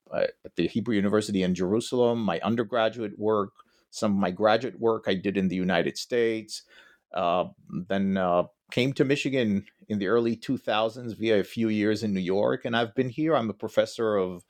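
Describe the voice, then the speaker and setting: A middle-aged man from Israel, a history professor giving long explanatory answers in an interview. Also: on the low side.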